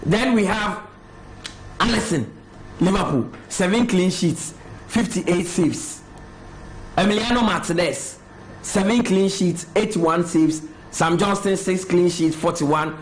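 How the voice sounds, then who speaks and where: A man reading goalkeeper statistics on a studio panel, halting over the numbers. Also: sits high, slight background noise, low-quality recording. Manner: reads lists of figures, halting and slow. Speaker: football pundit. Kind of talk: reading out stats in studio debate. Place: television studio.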